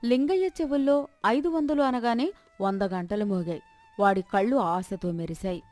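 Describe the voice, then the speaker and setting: A woman storyteller narrates a scripted moral tale at a middle pitch. Her reading is that of a folk story narrator, with faint music underneath. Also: normal speed, a little mellow.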